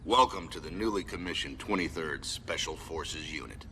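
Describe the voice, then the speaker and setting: An actor playing a stern military commander in a video game, giving formal, measured and authoritative mission briefings. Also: male voice, very deep voice, pushed and bright, a little hiss or hum, exaggerated voice. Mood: grave, authoritative. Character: stern male military commander briefing a special forces soldier. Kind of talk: scripted game cutscene acting and mission guidance.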